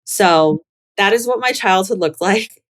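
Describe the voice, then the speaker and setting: A woman's voice: mid-range voice, expressive, measured pace. A young American woman talking candidly and casually about herself as a podcast guest, in a reflective way. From Chicago, United States. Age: young adult. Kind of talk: casual conversational interview.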